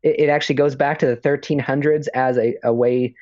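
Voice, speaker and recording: A man's voice: medium pitch, steady tone, brisk, a little mellow, no room sound. A man co-hosting a podcast in casual chat, talking hesitantly with lots of fillers and restarts. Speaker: podcast co-host. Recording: dull lo-fi audio.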